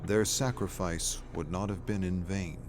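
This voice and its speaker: Male narrator as secretive company officer, formal, polite, measured phrasing, dramatic story, faint music. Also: low-pitched, steady tone. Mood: secretive, grave.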